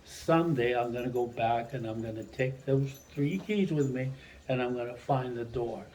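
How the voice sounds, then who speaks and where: An older man from Mexico whose first language is Spanish, a retired teacher telling a long childhood story to his family in a rambling, animated way. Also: mid-range voice, moderate pace, a little hiss or hum. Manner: rambling, animated storyteller who restarts sentences.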